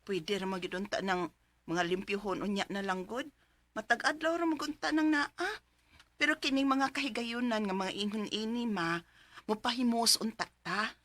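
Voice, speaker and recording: A woman acting a lively, caring single mother of thirty in a Cebuano radio drama, speaking expressively with a Cebuano accent. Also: mid-range voice, moderate pace, lightly acted. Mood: coaxing, teasing. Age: 30s. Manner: expressive, animated. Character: 30-year-old single mother, lively, caring, worried about her child. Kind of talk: Cebuano radio drama, letter narration and dialogue.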